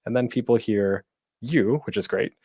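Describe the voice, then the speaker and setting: A man hosting an informal online tutorial, talking in a chatty, playful way with deliberate pauses for emphasis. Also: lowish voice, warm tone, slightly rough, no echo, clean studio sound. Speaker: panel host and podcasting instructor.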